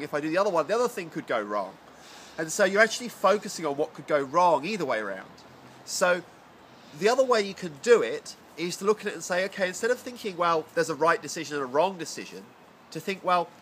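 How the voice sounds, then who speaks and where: Chatty male vlogger, slightly high, bright voice, nasal from a cold, rambling delivery. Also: slight background noise. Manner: chatty, rambling, many fillers and restarts. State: congested with a cold. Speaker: vlogger reviewing a self-help book. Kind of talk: casual to-camera chat. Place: home garden area.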